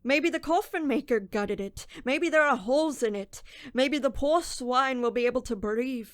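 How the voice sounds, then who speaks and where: A woman's voice: sits high, clear, resonant tone, dry recording, studio quality, lightly acted. A woman playing a guilt-ridden, tormented young thief in a radio drama, acting scenes and narrating in the first person. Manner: tormented, brooding, deliberate.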